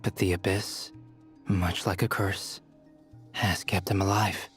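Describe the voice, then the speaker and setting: A calm male scholar from a fantasy game talks in measured, formal phrases. His voice is slightly low, over faint music. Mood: calm, analytical.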